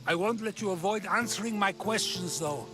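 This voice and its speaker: A man's voice: sits high, moderate pace, lightly acted. A man playing an eloquent, probing ancient Greek philosopher in video game dialogue, theatrical and full of rhetorical questions. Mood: wry, teasing. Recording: music under the voice.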